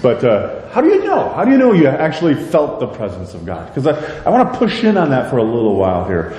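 A deep-voiced middle-aged pastor preaching with warm, mellow tone and a lively, rising-and-falling delivery, full of rhetorical questions and jokes at his own expense. He is recorded from some distance in a reverberant church sanctuary, with a faint low rumble underneath. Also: a little fast. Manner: conversational, self-deprecating humor, many rhetorical questions. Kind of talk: sermon. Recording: echoey church hall, light rumble.

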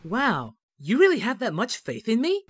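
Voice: mid-range voice, wildly swooping pitch, moderate pace, husky, no room sound, studio quality. An actor voicing a naive, childlike, cheerfully dim male martial arts hero in a fan parody cartoon dub, with a guileless, eager manner. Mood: excited, eager.

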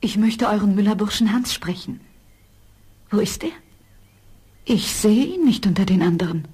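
A woman's voice: medium pitch, lively intonation, normal speed, mellow, breathy edge. A woman playing a noble young princess in a fairy-tale audio play, speaking in a formal, dignified manner. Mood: commanding.

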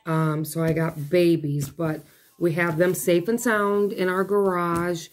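A woman with a hoarse, failing voice chatting casually at her dining table as she unboxes craft kits, rambling and breaking off mid-thought. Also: fairly low pitch, fairly even intonation, unhurried. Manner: rambling, chatty, self-interrupting. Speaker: craft vlogger. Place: home dining room, seated at a table.

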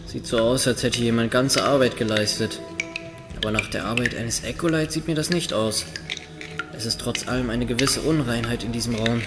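An earnest young acolyte healer acted by a man in a fantasy audio drama, with a medium-pitched voice. He is a thoughtful companion, heard in long reverb with music clearly behind him. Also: moderate pace, close to an everyday voice. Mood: thoughtful, uneasy.

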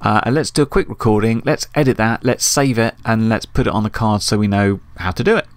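A man with a fairly low, gravelly voice talks through a tutorial as he works. His delivery is informal and thinking-aloud, and the sound is dry and close. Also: a little fast, dry recording. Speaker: tutorial host. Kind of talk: casual screen-recorded tutorial. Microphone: USB desktop microphone.

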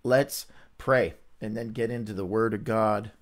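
A man's voice: lowish voice, fairly even intonation, a little slow. Upbeat man with a stuffy nose. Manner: upbeat, chatty, greets viewers by name. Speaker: host of a Bible reading livestream. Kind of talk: casual livestream chat and scripture reading.

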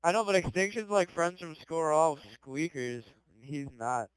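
A man's voice: on the high side, expressive, normal speed, clear, resonant tone, rough recording. A teenage boy chatting and laughing over a gaming headset while he plays, full of playful questions. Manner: chatty, giggly, playful would-you-rather questions. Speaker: gamer in voice chat. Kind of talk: casual party chat while playing.

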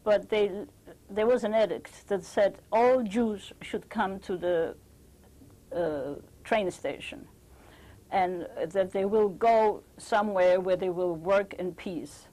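An older woman from Belgium giving an oral history testimony, speaking haltingly with frequent restarts. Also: medium pitch, mellow, low-quality recording. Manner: hesitant, halting, many restarts.

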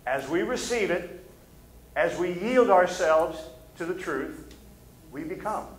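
A man's voice: high voice, animated, slow. A middle-aged man with a Midwestern American accent, preaching a casual, conversational sermon from a pulpit and asking the room for agreement. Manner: casual, plain-spoken, asks the room for agreement. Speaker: pastor. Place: church stage, behind a pulpit. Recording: echoey church sanctuary.

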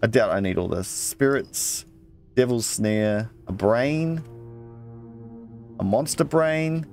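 A man's voice: medium pitch, slow, a little mellow, faint music behind. A man playing a video game, casually commenting, reading in-game texts aloud and muttering asides to himself. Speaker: let's play gamer.

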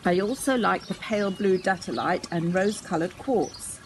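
A woman's voice: medium pitch, normal speed, background noise. An older woman reading a children's story aloud expressively, outdoors. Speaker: storybook reader. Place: outdoors in a garden.

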